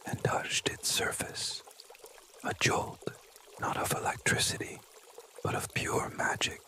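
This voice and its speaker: Hushed whispering ASMR storyteller. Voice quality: breathy, unvoiced whisper. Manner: slow, deliberate phrasing with frequent pauses. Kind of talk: whispered ASMR bedtime story narration for children.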